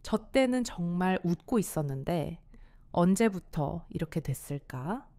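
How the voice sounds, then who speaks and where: A woman's voice: lowish voice, animated, measured pace, warm tone, no echo, studio quality. Woman voicing a hesitant, lonely older wife. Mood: weary, uneasy.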